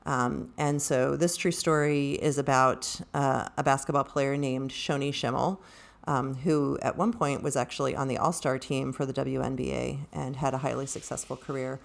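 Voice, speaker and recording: A woman with a strikingly low voice that often drops into creaky fry. She talks through her thoughts in a measured, halting way, as a participant in an unscripted book discussion. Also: steady tone.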